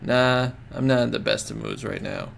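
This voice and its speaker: A man's voice: on the low side, steady tone, moderate pace, a bit bright, a little hiss or hum. A man as a snarling gang leader. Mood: scornful. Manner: rough, snarling and threatening. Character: hot-headed young male gang leader, violent.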